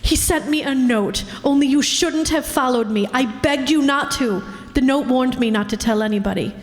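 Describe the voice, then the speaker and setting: A young society woman from a radio crime play, played by a woman, headstrong yet anxious. Her voice is high and carries the long reverb of a hall. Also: moderate pace, lightly acted. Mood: tense resolve. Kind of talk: old-time radio crime drama acting. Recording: echoey hall.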